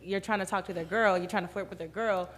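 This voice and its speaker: A woman guest on a podcast with a soft voice, speaking hesitantly with fillers in casual group chat. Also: medium pitch, steady tone, quick pace, big-room sound.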